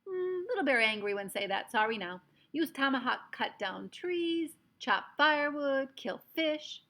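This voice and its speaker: A woman putting on a proud, bossy little man who barks short, broken phrases. The voice is pitched up and pushed loud, with a gravelly grain. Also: lively intonation, a little slow, full character voice. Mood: earnest. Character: proud, demanding miniature man.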